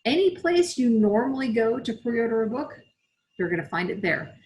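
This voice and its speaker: A woman's voice: on the high side, big pitch swings, moderate pace, darkish tone, rough recording. Woman narrating, author reading aloud. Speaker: author reading from own novel. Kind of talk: scripted narration, audiobook-style reading.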